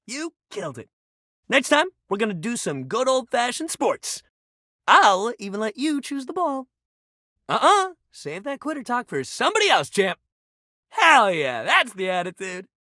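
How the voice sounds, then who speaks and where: A man's voice: high voice, bright, forceful tone, dry recording, clean studio sound, exaggerated voice. An actor playing an upbeat, friendly but sensitive young male sports jock in a video game, talking in casual, emphatic bro speak. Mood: hearty, encouraging. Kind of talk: video game character dialogue.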